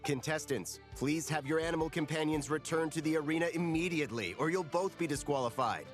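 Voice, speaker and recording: Casting: a man playing a stern official announcer, slightly raised pitch, formal delivery. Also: brisk, faint music behind, natural voice. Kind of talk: video game voice acting.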